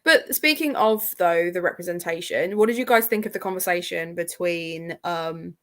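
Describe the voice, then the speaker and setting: Woman, lowish pitch, slangy and informal, casual book discussion. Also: moderate pace, a bit bright. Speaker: participant in a live book readalong discussion. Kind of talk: casual chat about books.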